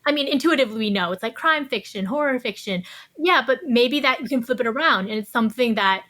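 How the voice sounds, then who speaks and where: A woman's voice: a little high, animated, fast talker, pushed and bright, dry recording. A woman giving reflective, explanatory answers as an author in an interview, often adding "you know" as she talks. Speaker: podcast guest, author. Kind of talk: thoughtful interview conversation.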